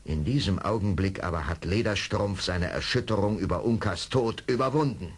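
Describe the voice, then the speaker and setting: A man with a husky voice narrating an adventure radio play dramatically from a script. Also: low voice, moderate pace.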